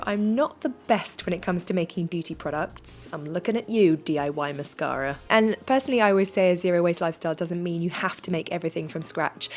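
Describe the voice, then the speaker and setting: A woman with a slightly croaky voice, chatting cheerfully and self-deprecatingly through a how-to vlog. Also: medium pitch. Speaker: vlogger.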